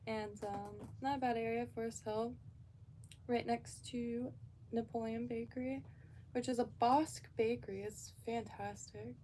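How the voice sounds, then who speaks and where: A woman's voice: a little high, steady tone, a little slow, dark tone, a little hiss or hum. A woman from Florida, chatting casually and rambling on a live stream with lots of fillers and restarts.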